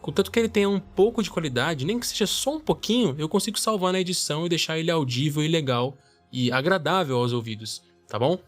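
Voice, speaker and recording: A man's voice: a little high, lively intonation, quick pace, hardly any pauses. A man with a Brazilian accent hosting a podcast, chatting casually to listeners with music behind him. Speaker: podcast host.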